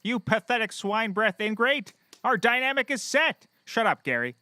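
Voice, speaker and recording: A man playing a petty, excitable, bombastic private investigator with a bickering, theatrical delivery in a comedy. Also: high voice, moderate pace, no echo, full character voice. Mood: scornful.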